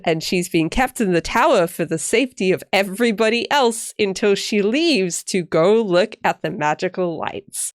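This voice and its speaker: A woman's voice: medium pitch, dry recording, clean studio sound. A woman hosting a book discussion, talking casually as she chats about reading. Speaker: host of a book discussion video.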